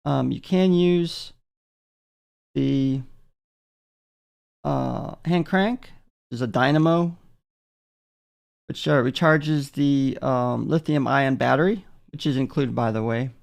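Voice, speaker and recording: A man giving a casual, unscripted product demonstration in a gravelly voice, halting often with filler pauses. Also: medium pitch. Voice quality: gravelly, creaky. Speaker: product reviewer.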